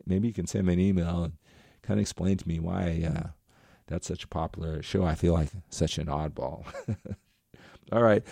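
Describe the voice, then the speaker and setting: A man's voice: low-pitched, vocal fry, dark tone, no room sound, studio quality. A middle-aged man talking straight to his viewers in a rambling, earnest motivational monologue. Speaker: motivational vlogger.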